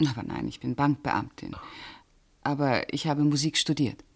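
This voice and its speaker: An actress playing a self-controlled bank official in a radio play, answering precisely and guardedly in short, restrained phrases. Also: female voice, extremely low pitch, moderate pace, slightly rough, exaggerated voice. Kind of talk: German radio play acting.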